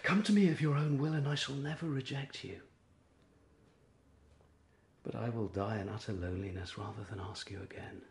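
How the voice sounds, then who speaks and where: An actor playing a proud, melancholy, impoverished Victorian writer in a radio drama, with an earnest, literary English delivery. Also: male voice, fairly low pitch, normal speed, creaky, pauses often, husky, heavily acted. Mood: wounded, proud. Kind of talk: BBC radio drama acting.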